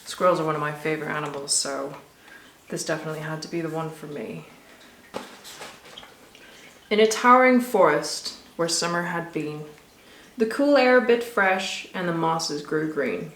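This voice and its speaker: A woman reading a rhyming children's story aloud with expressive, rhythmic delivery. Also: sits low, normal speed, long pauses, a little hiss or hum. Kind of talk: scripted storybook narration for children. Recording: echoey room.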